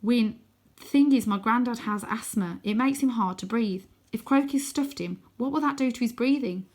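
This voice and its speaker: A woman's voice: fairly high pitch, moderate pace, a little mellow, voice pushed up, close to an everyday voice. A woman voicing an anxious, cautious boy adventurer in a storybook, speaking in a hesitant, earnest way. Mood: anxious, pleading.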